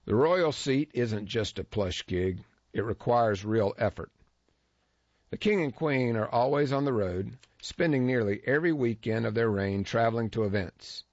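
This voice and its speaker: A man's voice: mid-range voice, animated, normal speed, darkish tone, no room sound. A man reading a first-person feature story aloud in a wry, dryly humorous way. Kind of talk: scripted first-person narration. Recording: dull lo-fi dry recording.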